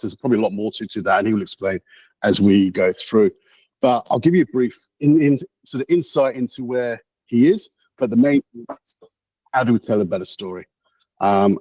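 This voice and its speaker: Halting, low-voiced host. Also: male voice, a little mellow, no room sound, rough recording. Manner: halting, repeats words and restarts. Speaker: meetup host. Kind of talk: introducing a speaker.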